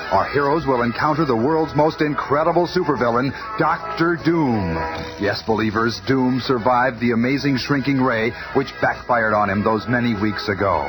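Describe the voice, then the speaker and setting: A man's voice: medium pitch, poor audio. A man announcing a 1970s radio show in a grand, proclaiming manner. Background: prominent music.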